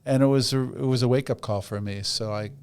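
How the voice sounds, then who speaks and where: A man's voice: medium pitch, fairly even intonation, fairly quick, darkish tone, clean tone. A man telling a personal story as a podcast guest in a plain-spoken, colloquial way.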